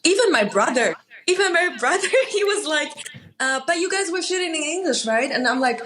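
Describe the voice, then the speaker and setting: A woman's voice: high-pitched, animated, fairly quick, a bit bright, a little hiss or hum. A woman from Austria whose first language is Mandarin, speaking casually as an actress being interviewed.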